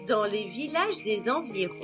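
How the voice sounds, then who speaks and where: A woman narrating children's stories in an expressive storytelling style. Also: medium pitch, moderate pace, over music.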